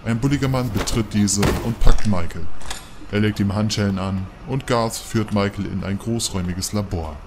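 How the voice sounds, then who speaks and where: A man's voice: lowish voice, moderate pace, noisy background. A man narrating an audio drama from a script.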